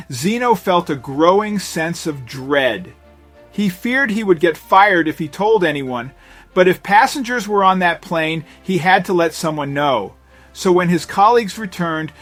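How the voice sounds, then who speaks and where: A male narrator reads a scripted story in a dramatic, suspense-building way. His voice sits a little higher than average for a man. Soft music runs behind the voice-over. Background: background music.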